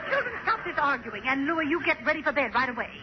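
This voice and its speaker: A woman playing a scolding, excitable mother in a 1940s radio comedy. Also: sits high, fast talker, crowd noise. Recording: very noisy, muffled old radio recording.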